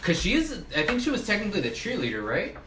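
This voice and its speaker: A man's voice: high-pitched, wildly swooping pitch, fast, a crowd in the background. A man co-hosting a casual podcast with friends, rambling and swearing freely, a little tipsy from drinking. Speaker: podcast co-host. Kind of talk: casual chat among friends. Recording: echoey room with faint ambient noise.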